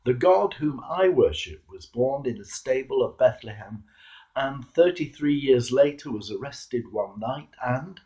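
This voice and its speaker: Mellow preacher, expressive and unhurried. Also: male voice, mid-range voice, low-quality recording. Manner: measured, earnest, rhetorical with emphatic pauses and tag questions. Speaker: Anglican clergyman, preacher. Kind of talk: devotional sermon reflection, read aloud.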